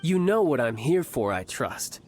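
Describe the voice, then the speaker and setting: An actor playing a charming, smug young male noble fighter who talks in a teasing, smoothly confident way. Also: mid-range voice, big pitch swings, unhurried, over music. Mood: condescending. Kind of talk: game voice acting.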